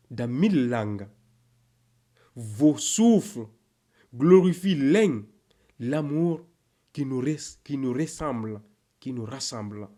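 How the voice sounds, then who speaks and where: A man with a medium-pitched voice recites poetry at an extremely slow pace. His delivery is solemn and declamatory, setting each short phrase apart with a deliberate pause, in the measured style of a spiritual reading. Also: a little mellow. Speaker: poem narrator.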